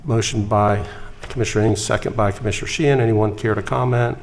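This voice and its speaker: A man chairing a city council meeting, running through motions and votes in a brisk, procedural way with light jokes. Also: on the low side, fairly even intonation, vocal fry, darkish tone, a little hiss or hum.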